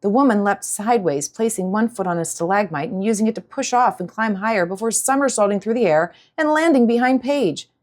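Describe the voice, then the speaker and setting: A woman's voice: medium pitch, animated, brisk, few pauses. A woman audiobook narrator reading a scripted story in a dramatic style.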